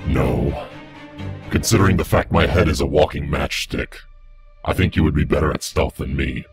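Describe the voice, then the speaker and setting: An actor playing a gruff, cocky flaming-skulled vigilante biker in a fan audio drama. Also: male voice, a bass voice, normal speed, music in the background. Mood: cocky, commanding. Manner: terse, confident.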